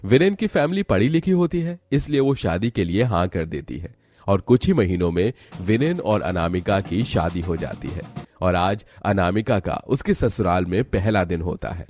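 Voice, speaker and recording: Low-pitched male story narrator. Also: lively intonation, moderate pace, a little mellow.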